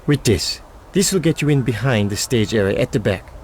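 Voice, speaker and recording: A man's voice: expressive, fairly quick, slight background noise, close to an everyday voice. Man playing a terse, shady fixer. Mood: guarded. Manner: low, guarded, terse. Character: shady middle-aged male fixer, guarded. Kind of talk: video game cutscene acting.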